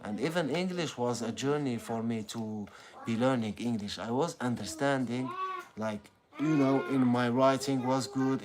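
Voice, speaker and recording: Halting Algerian man, crowd chatter behind. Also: mid-range voice. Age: 30s. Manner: halting, full of fillers and restarts. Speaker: content creator. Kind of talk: casual motivational monologue.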